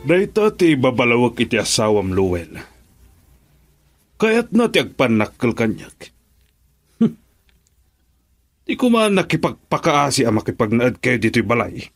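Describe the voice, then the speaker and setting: Stern, disapproving older father for radio drama: domineering, animated, mid-pitched voice. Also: a little slow, pauses often, soft background music. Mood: stern, scornful. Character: stern, disapproving father of a grown married daughter. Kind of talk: Ilocano radio drama acting.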